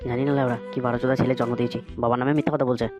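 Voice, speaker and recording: A woman's voice: very deep voice, level tone, very fast, a little mellow. A woman voicing a grumpy, lazy, abusive father in a comedy cartoon, scolding and cursing. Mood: resentful, grumbling. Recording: muffled lo-fi audio with music.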